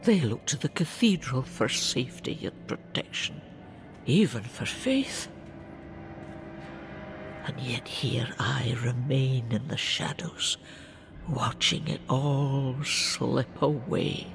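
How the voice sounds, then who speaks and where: An actor playing a brooding druid in a video game, speaking a solemn monologue in a gravelly half-whisper. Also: male voice, medium pitch, animated, takes it slowly, faint music behind. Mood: brooding, sorrowful. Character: brooding male druid mourning his fading people.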